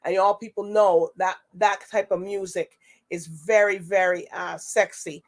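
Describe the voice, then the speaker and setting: A woman's voice: medium pitch, steady tone, a little slow. Chatty woman hosting a talk show. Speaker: show host.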